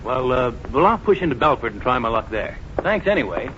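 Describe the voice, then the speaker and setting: Folksy traveller, swooping pitch. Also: male voice, sing-song, a little fast, a bit bright. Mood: sheepish, friendly. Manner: folksy, hesitant, chatty. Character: folksy, affable, apologetic male traveller seeking lodging. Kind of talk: 1940s radio acting. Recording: muffled, noisy 1940s radio recording.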